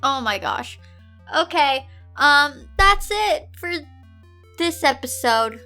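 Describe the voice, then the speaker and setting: A woman reading aloud as a show host, with an exasperated, expressive, theatrical manner and music behind her. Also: high-pitched, takes it slowly. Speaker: host of a comedic fan fiction reading show. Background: background music.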